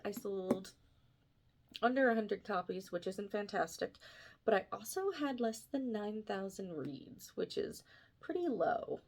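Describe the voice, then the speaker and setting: A woman's voice: mid-range voice, gravelly. This is a woman with a mid-range voice, chatting to viewers like an author presenting her own work. Her delivery is informal and enthusiastic, wandering from one thought to the next in an unscripted vlog style. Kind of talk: casual vlog chat to viewers.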